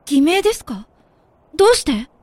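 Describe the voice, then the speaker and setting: A woman's voice: high-pitched, big pitch swings, moderate pace, darkish tone, no room sound. A woman playing an earnest, inquisitive teenage girl with a youthful, sincere way of talking in an audio drama. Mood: puzzled, questioning.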